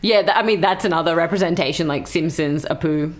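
A woman's voice: on the low side, fairly quick. A young woman with an Australian accent, chatting casually and reflectively, with plenty of fillers. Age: young adult. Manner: relaxed, reflective, frequent likes and yeahs. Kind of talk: casual conversation.

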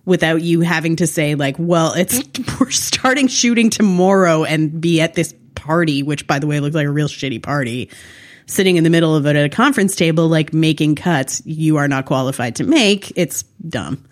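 A woman's voice: sits low, normal speed. A woman co-hosting a casual TV recap in a blunt, wry, critical manner. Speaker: podcast co-host.

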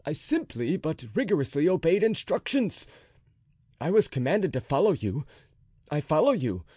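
A man's voice: fairly high pitch, dark tone, husky, dry recording, clean studio sound, pitched above the performer's own voice. A man voicing a dutiful, timid officer who speaks hesitantly and stammers.

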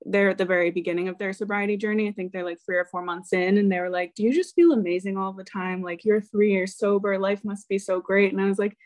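A woman's voice: mid-range voice, dry recording. Candid American woman, sobriety coach. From United States. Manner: relaxed, candid, laughs easily. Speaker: podcast guest, sobriety coach. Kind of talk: interview conversation.

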